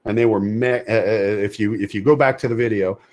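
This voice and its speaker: A man's voice: lowish voice, steady tone, fairly quick, a little mellow. A man co-hosting an opinionated gaming podcast, emphatic, stumbling into sentences and repeating phrases to hammer a point. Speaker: podcast co-host. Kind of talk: heated opinionated discussion of a video game.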